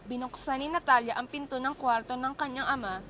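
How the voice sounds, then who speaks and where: A woman's voice: high voice, steady tone, brisk, sharp tone, slight background noise. A woman reading scripted narration for an amateur radio drama.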